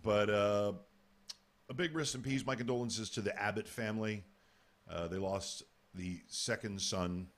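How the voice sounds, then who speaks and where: A man with a big booming voice hosting a casual live radio show, talking in a rambling, halting way.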